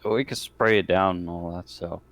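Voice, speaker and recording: A man's voice: low-pitched, steady tone, fairly quick, a bit bright. A man talking casually as a player in a tabletop roleplaying game, working through options.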